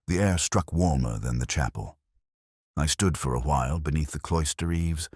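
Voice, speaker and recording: A man reading a scripted first-person detective story in a measured, reflective, literary manner. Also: very deep voice, slightly rough, dry recording, studio quality.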